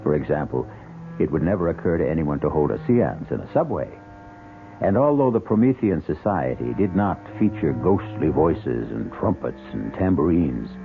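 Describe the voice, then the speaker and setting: Deep male host narrating old radio mystery, dramatic and measured, music behind, tinny broadcast sound. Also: creaky.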